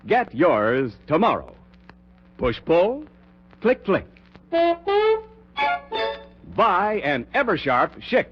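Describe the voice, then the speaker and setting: A man's voice: a little high, very animated, extremely slow, pauses often, loud music behind the voice. A man reading a 1940s radio commercial as an announcer, with punchy, emphatic salesmanship. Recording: muffled 1940s radio, slightly husky sound.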